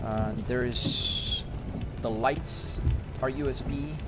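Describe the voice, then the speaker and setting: A man's voice: mid-range voice, drawn-out words, heavy background noise. A man from Brazil with a Brazilian accent, chatting casually on a live stream while driving a truck game and answering viewers. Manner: relaxed, rambling, answers chat questions between driving remarks.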